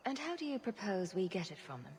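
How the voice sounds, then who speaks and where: An actress playing a sarcastic, quick-witted champion adventurer with a dry, joking delivery in a video game. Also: female voice, mid-range voice, sing-song, darkish tone, big-room sound, slight background noise. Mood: wry.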